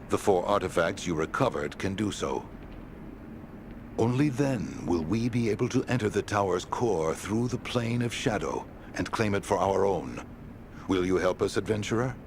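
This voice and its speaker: Grave secret-order ally, low voice, deliberate game exposition, earnest, noisy capture. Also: male voice. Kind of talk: video game exposition dialogue.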